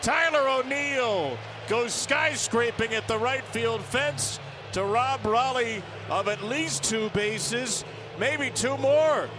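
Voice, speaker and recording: A man's voice: extremely high pitch, takes it slowly. A man giving baseball play-by-play commentary. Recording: loud stadium ambience noise.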